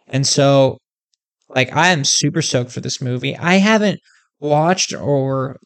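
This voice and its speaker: A video host delivering a comedic rant straight to the viewers, with a middle pitch. The speech is profane and emphatic, and the talk has an animated, worked-up energy. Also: slow.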